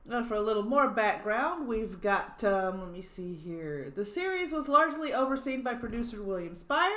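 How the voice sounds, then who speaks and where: A woman's voice: a little high, fairly bright tone. A woman hosting a livestream, chatting casually, giggling and thinking aloud as she reads background notes. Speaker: livestream host. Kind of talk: casual chat. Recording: roomy echo.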